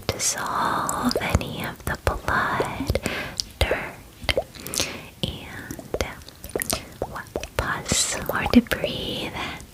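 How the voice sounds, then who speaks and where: Woman as a whispering, doting female dragon. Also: low-quality recording. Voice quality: soft breathy whisper. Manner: gushing, reassuring, casual girlish phrasing. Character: friendly, doting, bubbly female dragon spa caretaker. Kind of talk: ASMR fantasy roleplay, personal attention.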